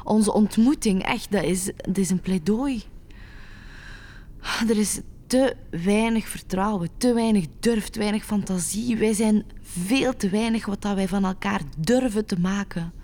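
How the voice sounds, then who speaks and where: Actress as a soft-toned, smitten Flemish woman. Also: medium pitch, a little slow, lightly acted. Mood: earnest, smitten. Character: young woman, smitten, nervous, impulsive and wonderstruck. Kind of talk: intimate, naturalistic audio drama acting.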